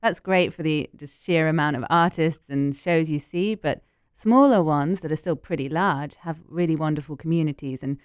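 Low, crystal-clear British woman. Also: moderate pace, no echo, clean studio sound. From United Kingdom. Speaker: actor guest. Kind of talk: interview answers.

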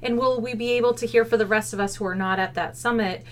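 A woman's voice: on the high side, steady tone, fast. Conversational woman co-hosting a podcast. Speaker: podcast co-host.

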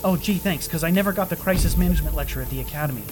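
A man playing a rattled, sarcastic starship chief engineer who mutters haltingly to himself in an audio drama. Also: a little high, over loud music, lightly acted. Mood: panicked, sarcastic. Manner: halting, self-talking, wry.

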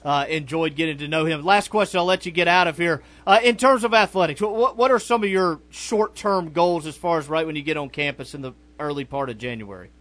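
A man's voice: fairly high pitch, fairly quick, hardly any pauses, fairly bright tone, dry recording. A man co-hosting a college sports radio show, interviewing a guest and chatting casually.

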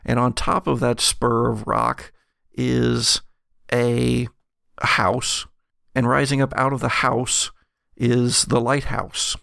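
A man narrates a tabletop role-playing game as its game master. His voice is heavily creaky and he takes his time, improvising scene descriptions in halting, deliberate phrases. The sound is close and dry. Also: mid-range voice, little pitch movement, fairly bright tone, no room sound.

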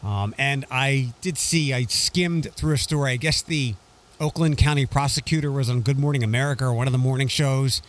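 A man, chatting casually as a podcast co-host in a dry, wry, measured way. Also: mid-range voice. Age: 40s. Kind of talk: casual chat.

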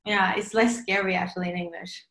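A woman's voice: mid-range voice, steady tone, fairly quick, fairly bright tone. Chatty young norwegian woman, echoey room. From Norway. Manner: chatty, says "like" constantly. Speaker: singer being interviewed. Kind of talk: casual interview chat.

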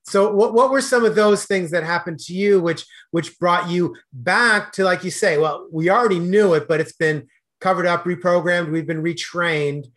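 Man, podcast host, slightly high pitch, earnest, hesitant, lots of fillers. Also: moderate pace, a bit bright. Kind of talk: casual interview questions.

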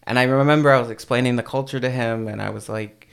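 A man's voice: mid-range voice, fairly even intonation, fast talker, vocal fry, fairly bright tone, clear, resonant tone. A man, a podcast guest, telling a personal story in casual chat, with many fillers and restarts.

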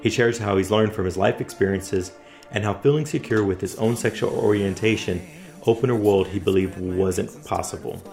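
A man hosts a podcast in a friendly, unhurried way, pausing on fillers and restarting his sentences. His voice sits low and has a gravelly fry. Faint music plays under him. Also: a little mellow. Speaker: podcast host. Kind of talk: casual interview chat.

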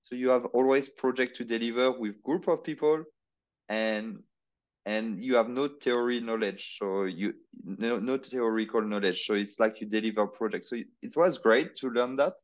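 A man's voice: mid-range voice, moderate pace, muffled recording. A man, a podcast guest speaking hesitantly and searching for his words. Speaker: podcast guest, marketing consultant. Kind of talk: casual interview chat.

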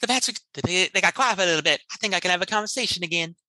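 A woman's voice: lowish voice, very fast, bright, forceful tone. A woman playing a folksy, exasperated person plagued by bats in the head, in an improvised spoof radio commercial. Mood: folksy, distracted. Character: frantic bat-plagued folksy sufferer scolding bats in head.

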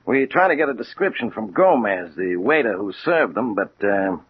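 An actor playing a plain-spoken American homicide captain, matter-of-fact and official, in a 1940s radio crime drama. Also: male voice, fairly low pitch, very animated, normal speed. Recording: muffled old radio recording with hiss.

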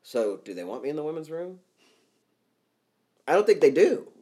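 A man's voice: a little high, sing-song, moderate pace, warm tone. A man co-hosting a podcast in casual chat, talking bluntly and profanely and getting worked up as he goes. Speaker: podcast co-host.

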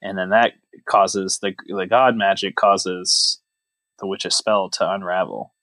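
A man's voice: sits low, fairly even intonation, slow, a bit bright, dry recording. A man co-hosting a podcast, chatting casually about films in a wry, mock-serious way. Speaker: podcast host. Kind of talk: casual joking film chat.

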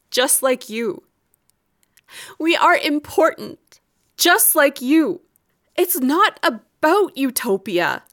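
An actress playing a wronged, weary wife in an audio drama, speaking in a deliberate, accusing way, grave and resolute. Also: female voice, high voice, fairly bright tone, heavily acted. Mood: indignant.

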